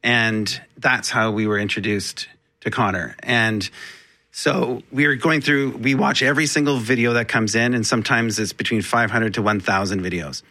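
A man's voice: fairly low pitch, steady tone, normal speed. A man, a theatre's artistic director, speaking in an effusive, gushing way in an interview. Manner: gushing, effusive, warm praise. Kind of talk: enthusiastic interview talk.

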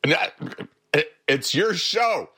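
A man in his seventies speaks slowly as a radio guest, telling stories with frequent chuckles. His pitch rises and falls in a lively way. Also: husky. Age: 70s. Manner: chuckling, anecdotal. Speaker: therapist guest. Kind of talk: casual radio chat, storytelling.

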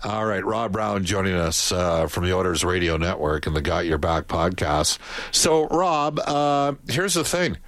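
A man's voice: low-pitched, lively intonation, a bit bright, dry recording. A man hosting a sports radio show, joking with a guest in casual chat. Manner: joking, brisk reads ad copy. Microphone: studio microphone.

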